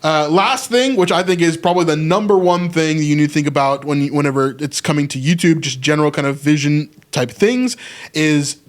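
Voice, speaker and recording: A man's voice: a little high, fairly quick. A man co-hosting a casual podcast, explaining ideas in an upbeat way and checking in with "right?". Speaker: podcast co-host.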